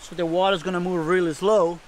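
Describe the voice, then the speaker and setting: A middle-aged Costa Rican man with a high voice and native Spanish shows people around his farm. He talks casually in simple phrases, repeating words, with faint outdoor noise around him. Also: level tone, a little fast, poor audio. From Costa Rica. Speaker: farmer showing his homestead. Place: outdoors in a tropical food forest.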